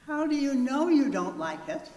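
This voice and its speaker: A woman's voice: high voice, sing-song, moderate pace, a little mellow. A woman reciting short sayings in a theatrical readers theater style. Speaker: readers theater performer at church. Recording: echoey church hall, faint noise.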